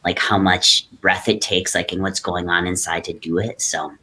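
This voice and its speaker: A man talking in a relaxed, reflective way, sharing the story of his artistic practice as the guest in an interview. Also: sits low, moderate pace, slight background noise. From Great Lakes region, North America. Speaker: guest artist, hoop dancer.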